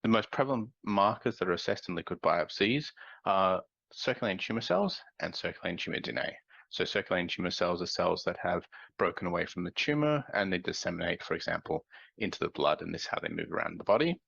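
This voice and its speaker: Low, gravelly man with a cold. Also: fairly bright tone, no room sound, muffled recording. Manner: measured, explanatory, with frequent ums. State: nasal from a cold. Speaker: researcher presenting a talk. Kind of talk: scientific conference presentation.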